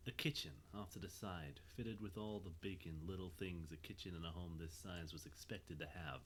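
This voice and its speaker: A man's voice: low-pitched, steady tone, normal speed, a bit bright, slight background noise. A man narrating an audio drama from a script, in the role of storyteller.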